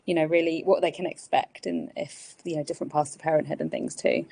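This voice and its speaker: Encouraging low-voiced woman host. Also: fairly even intonation, fast, vocal fry, slightly rough. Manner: warm, encouraging, hesitant phrasing. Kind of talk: casual live Q&A.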